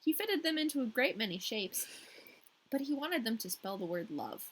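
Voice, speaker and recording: Woman storyteller, high voice, slightly husky, expressive, wry, casual asides. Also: moderate pace, poor audio. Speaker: podcast host reading a fairy tale.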